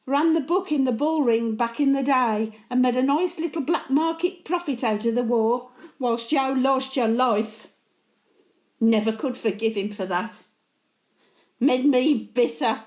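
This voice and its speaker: A woman's voice: high-pitched, a little slow, darkish tone, reverberant. A woman playing a blunt, antisocial elderly Birmingham widow, telling chatty stories in local dialect as a dramatic monologue. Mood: bitter, reminiscing. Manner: blunt, grumbling, colloquial dialect. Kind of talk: dramatic monologue, chatty storytelling to late husband.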